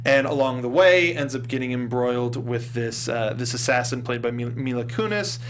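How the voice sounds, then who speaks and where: A man hosting a casual film review discussion, with an animated manner that slips into mocking quotes. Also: medium pitch, normal speed. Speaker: talk show host. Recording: noisy room with electrical hum.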